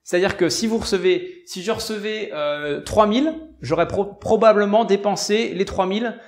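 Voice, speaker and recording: A man's voice: sits high, normal speed. A man from provincial France with a French accent, explaining informally to viewers, often repeating and rephrasing his points as he goes. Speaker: self-help video presenter. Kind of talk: informal explainer on personal finance.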